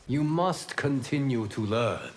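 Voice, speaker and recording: An actor playing a calm, wise fire god in a video game, speaking formally and gravely in measured phrases. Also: male voice, mid-range voice, a little hiss or hum. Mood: measured, instructive. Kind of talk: video game voice acting, formal pre-fight banter.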